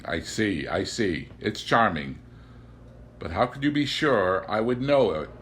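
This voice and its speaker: A man's voice: fairly low pitch, lively intonation, measured pace, slightly rough. A man voicing an anxious, intense young governess in an audiobook, with insistent, fervent and emphatic speech. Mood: measured.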